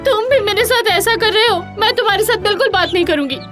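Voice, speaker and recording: A woman's voice: very high voice, quick pace, over music. A woman playing a naive, complaining, insistent village wife in a cartoon story. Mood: insistent, upset. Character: gullible, chatty, sleepy village wife, insistent.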